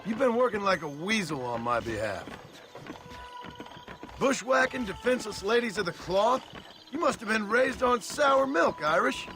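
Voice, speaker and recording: An actor playing a tough, sardonic Old West gunslinger with an American accent in a video game, dry and threatening. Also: male voice, high voice, big pitch swings, moderate pace, sharp tone, soft background music, full character voice. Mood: scornful. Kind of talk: video game cutscene acting.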